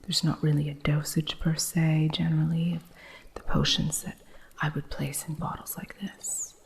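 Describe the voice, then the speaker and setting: A woman playing a female witch shopkeeper who teaches an apprentice, in a soft whisper with dry humor, for an ASMR roleplay. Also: low voice, level tone, normal speed, vocal fry. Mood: hushed.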